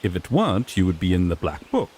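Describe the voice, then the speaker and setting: A man's voice: sits low, wildly swooping pitch, quick pace, darkish tone, a little hiss or hum. A man playing a sassy griffon knight in a fantasy audio drama, an earnest rookie lawman who explains things formally with mild exasperation. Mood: officious, patient.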